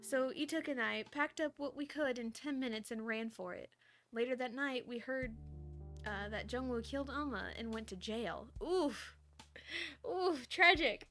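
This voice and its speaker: A woman's voice: a little high, a little slow, a bit bright, faint music behind. A woman livestreamer with an informal, giggly, self-deprecating way of talking, chatting casually and reading fan fiction aloud. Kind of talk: casual livestream chat and story read-aloud.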